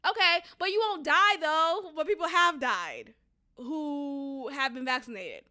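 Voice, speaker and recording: A high-voiced young woman with a Boston accent, hosting her own podcast. Her tone is bright and sharp, and she delivers her views with sarcasm and heavy emphasis. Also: animated, measured pace. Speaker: podcast host. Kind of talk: solo opinion commentary podcast.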